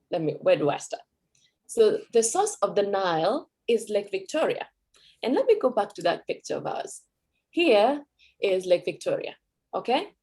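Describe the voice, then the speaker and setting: A woman's voice: medium pitch, dark tone. A Kenyan woman whose first language is Kikuyu, giving a conversational talk at a cultural event as a Swahili teacher, with fillers and self-corrections.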